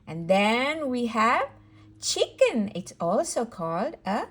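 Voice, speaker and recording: A woman's voice: on the high side, very animated, takes it slowly, a bit bright, breathy edge. A woman leading a read-aloud word lesson for toddlers, sing-song and encouraging, repeating words for a small child. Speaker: children's educator and host.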